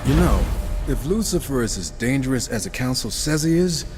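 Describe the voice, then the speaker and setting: Man as a cocky, lively horseman in cavernous reverb. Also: mid-range voice, normal speed, close to an everyday voice. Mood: sarcastic. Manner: sarcastic, flippant. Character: wisecracking, irreverent male gunslinger horseman, cocky and impatient. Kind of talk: video game cutscene acting. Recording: long hall reverb, music behind.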